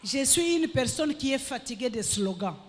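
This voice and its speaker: A woman pastor preaching a sermon emphatically in short phrases, pausing for her interpreter. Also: high voice, expressive, breathy edge, long reverb tail.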